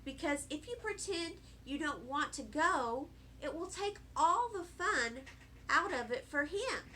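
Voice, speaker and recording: A woman voicing a patient, coaxing mother in a children's storybook, speaking gently. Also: high voice, expressive, a little slow, a little hiss or hum, exaggerated voice. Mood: reassuring. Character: soft-spoken, patient, coaxing mother of a little girl. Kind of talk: children's storybook read-aloud.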